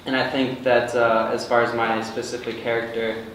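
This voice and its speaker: Even-toned man in a very echoey room. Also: medium pitch, normal speed. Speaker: actor at a table read. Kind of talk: earnest group discussion. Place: indoor room with a group. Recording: very echoey room, distant, noisy.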